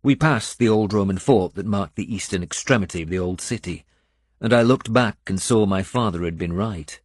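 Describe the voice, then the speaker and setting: A man reading a scripted first-person audiobook in a measured storytelling manner. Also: fairly low pitch, no room sound, clean studio sound. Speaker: audiobook narrator.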